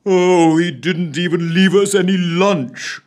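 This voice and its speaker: A man's voice: high voice, slow, voice pushed up. A man doing a whiny melodramatic old lord. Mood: moaning. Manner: moaning, self-pitying.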